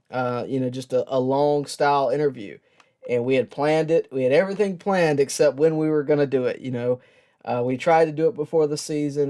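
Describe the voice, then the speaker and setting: A man hosting a sports show, giving a personal tribute in a reflective, earnest and halting way with pauses. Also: mid-range voice, mellow. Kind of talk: personal tribute talk to camera.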